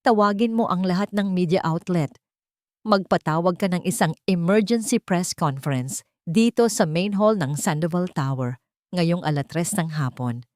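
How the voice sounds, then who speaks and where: A woman voicing a composed heiress executive who speaks calmly, coldly and with command. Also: fairly low pitch, moderate pace, no echo, studio quality, lightly acted. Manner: calm, cold, commanding.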